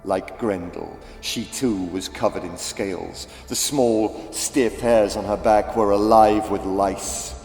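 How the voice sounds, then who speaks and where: A man narrating a children's audiobook as dramatic, deliberate, theatrical storytelling. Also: lowish voice, steady tone, vocal fry, darkish tone. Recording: echoey reverb with faint music.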